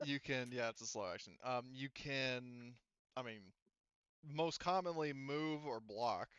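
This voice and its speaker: A man's voice: mid-range voice, unhurried, a bit bright, smooth, no room sound, dull audio. A man casually refereeing a tabletop roleplaying game as game master, with informal, sweary talk.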